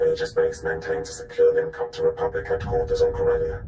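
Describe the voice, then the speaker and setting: An actor playing a secretive, guarded male handler in a cinematic video game, speaking in a hushed way. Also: extremely low pitch, huge pitch swings, quick pace, exaggerated voice. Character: secretive male handler, guarded self-described invisible friend. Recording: roomy echo with music behind.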